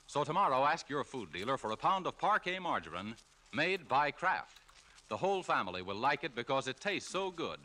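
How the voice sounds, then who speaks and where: A man's voice: fairly high pitch, bright, forceful tone. An American man announcing a sponsor message on a 1940s radio show in a polished, emphatic salesman's delivery. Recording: old radio broadcast, music behind.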